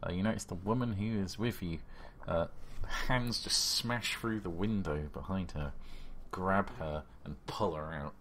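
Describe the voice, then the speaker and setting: A man with a low voice runs a tabletop horror game as game master. He describes scenes conversationally, improvising as he goes, with faint noise in the background. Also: measured pace, a bit bright.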